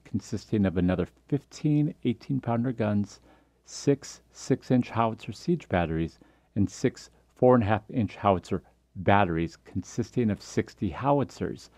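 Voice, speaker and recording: A man's voice: fairly low pitch, mellow, no echo. A man reading a scripted history documentary narration as the narrator.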